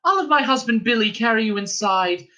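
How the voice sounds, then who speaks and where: A motherly old innkeeper's wife fusses over a guest in a high, lilting, folksy voice, as a male reader puts her on. Her talk is chatty and coaxing, with a bright, sharp edge to the tone. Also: animated, a little fast.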